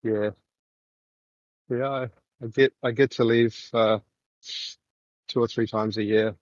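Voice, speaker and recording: A man from New Zealand with a New Zealand accent, chatting casually on a voice call while walking outdoors, halting and full of fillers. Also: mid-range voice, steady tone, darkish tone, no echo, highs cut off. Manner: rambling, halting, many fillers.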